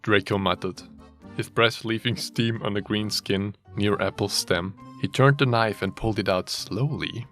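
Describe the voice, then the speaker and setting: A man's voice: lowish voice, normal speed, a bit bright, soft background music. A man reading a fanfiction story aloud as a dramatic narrator, mock-serious and often amused, with joking asides. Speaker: story reader.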